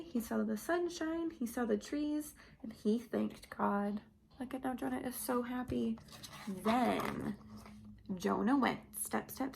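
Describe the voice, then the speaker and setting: A woman storyteller with a fairly high voice reads to small children at a very slow pace. She speaks in simple, repeated phrases and puts questions to her young listeners, with a little creak in the voice. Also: slight background noise, poor audio. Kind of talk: animated read-aloud for young children.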